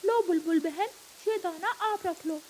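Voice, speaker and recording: A woman's voice: extremely high pitch, measured pace, a little mellow, slight background noise. A woman voicing a helpful, generous male bird in a cartoon, with a kindly, practical manner.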